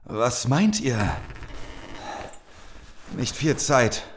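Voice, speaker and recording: An actor playing a battered, distrustful survivor soldier in an audio drama, blunt and skeptical. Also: male voice, medium pitch, big pitch swings, drawn-out words, a little hiss or hum. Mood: weary. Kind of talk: German audio drama acting.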